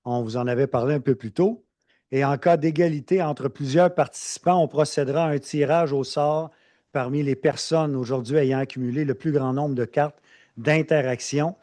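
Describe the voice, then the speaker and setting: Un homme anime une journée scientifique et présente les intervenants sur un ton formel et cérémonieux. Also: male voice, on the high side, fairly even intonation, normal speed, dark tone. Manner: formal, ceremonious introductions. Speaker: event emcee. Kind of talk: formal event hosting. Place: conference hall.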